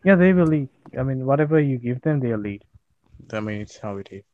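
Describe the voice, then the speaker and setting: A young man from Sri Lanka chatting casually, relaxed and hesitant with many fillers and laughs. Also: medium pitch, brisk. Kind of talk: casual chat. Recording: dull lo-fi online call audio with faint noise.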